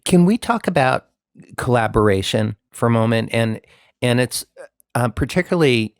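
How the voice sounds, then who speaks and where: A man's voice: medium pitch, animated, moderate pace, clear, resonant tone, no room sound, studio quality. A man hosting an interview, asking long, friendly, rambling questions with many fillers. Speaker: interview host, musician. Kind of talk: conversational interview.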